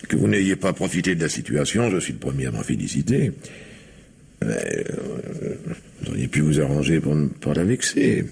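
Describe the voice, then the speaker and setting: Middle-aged factory owner for French radio drama: gravelly, bottom-register voice, smug, condescending, faltering delivery. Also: male voice, extremely low pitch, big pitch swings, normal speed, a little mellow, full character voice. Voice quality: gravelly, husky. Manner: hesitant, patronising. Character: self-satisfied factory owner pushing out his brother's household.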